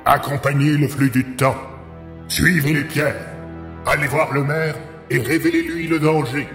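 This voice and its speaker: A man's voice: on the high side, fairly even intonation, a bit bright, soft background music. Man as an echoing, solemn cosmic oracle. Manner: grave, measured, oracular. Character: solemn, all-knowing cosmic spirit giving cryptic counsel. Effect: reverb. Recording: long echoing hall reverb.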